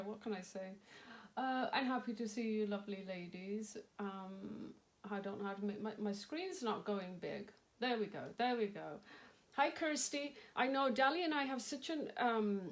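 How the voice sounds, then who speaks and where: A middle-aged woman talks to her viewers in a loose, rambling way, with a slightly nasal voice. She laughs easily and greets people by name as she hosts a craft stream. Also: on the high side. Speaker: craft livestream host. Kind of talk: casual live-stream chat.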